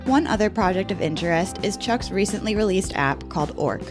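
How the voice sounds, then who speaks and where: A woman's voice: mid-range voice, lively intonation, fairly quick, over music. A woman hosting a podcast, presenting and welcoming guests in a prepared interview style. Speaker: podcast host. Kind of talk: scripted introduction and interview hosting.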